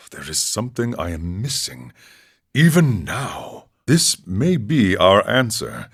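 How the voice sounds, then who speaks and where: A grave warrior monk from a fantasy video game, with a very low voice. His delivery is measured and philosophical, yet the melody moves widely, swelling and sinking. He carries himself as a proud, regretful seeker. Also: wildly swooping pitch, vocal fry, husky, heavily acted. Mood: sorrowful, resigned, then somber, reflective. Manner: measured, philosophical, speaks of himself in third person. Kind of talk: scripted video game character dialogue.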